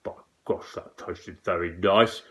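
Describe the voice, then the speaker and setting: A man voicing a huge, vicious, grumpy giant with a big rumbling voice in a children's verse story. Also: steady tone, slow, fairly bright tone. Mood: grumpy muttering.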